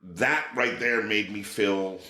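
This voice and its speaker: A middle-aged man telling a story in casual chat, acting out quotes with a raised voice. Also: takes it slowly. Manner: animated, acts out quotes with raised pitch. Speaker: podcast guest. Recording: roomy echo.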